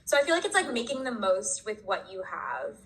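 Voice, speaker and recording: A young woman podcast guest, raised in Washington State and Connecticut, talking in a relaxed, thoughtful way with lots of fillers. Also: mid-range voice, brisk. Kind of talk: casual chat.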